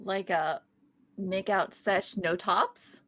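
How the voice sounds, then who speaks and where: A woman's voice: medium pitch, steady tone, takes it slowly, a bit bright. A woman reading the part of a quirky, upbeat young woman at a podcast table read, with a bubbly, playful manner.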